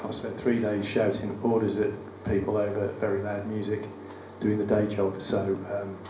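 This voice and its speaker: A man with a West Midlands accent and a croaky voice, reading his poems at a live event with wry, self-deprecating banter. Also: lowish voice, completely flat delivery, moderate pace, far from the mic. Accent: West Midlands English. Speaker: performance poet. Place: studio theatre at a live cabaret event. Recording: roomy live venue, mic from afar, audible room noise. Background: audience ambience.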